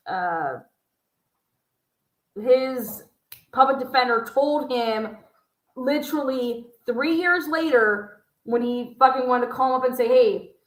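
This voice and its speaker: A woman's voice: a little high, fairly bright tone. A woman hosting a livestream, giving impassioned, indignant commentary with emphatic swearing. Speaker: livestream host. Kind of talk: impassioned live commentary on a recording. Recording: roomy, echoing livestream sound.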